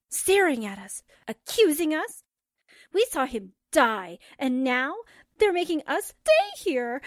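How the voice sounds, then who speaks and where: A woman's voice: sits high, dry recording, clean studio sound, full character voice. A woman playing a distraught, overwrought lady dinner guest, in melodramatic 1940s radio style. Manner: overwrought, dramatic.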